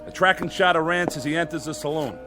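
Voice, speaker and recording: A harried director barks quick orders to his crew in a higher voice, played by a man. Music runs under the radio scene. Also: fairly even intonation, lightly acted. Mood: brisk. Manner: brisk, commanding. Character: harried film director, male, impatient. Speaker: film director.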